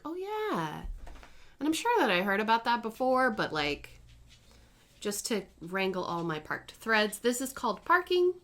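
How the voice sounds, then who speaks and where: A woman in her thirties chats at home about her stitching projects. She rambles and corrects herself as she goes, in an easygoing, conversational way. Her voice sits at a middling pitch. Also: pushed and bright. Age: 30s. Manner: chatty and rambling, with self-corrections. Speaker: cross-stitch vlogger. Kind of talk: casual chatty crafting vlog.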